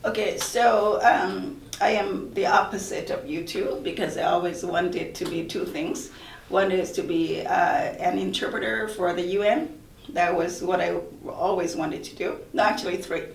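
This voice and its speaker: A woman's voice: lowish voice, steady tone, a little slow, gravelly, slight background noise. A woman from Thailand, a college professor, speaking earnestly and reflectively in a panel discussion, often restarting her sentences. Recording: roomy echo, far from mic.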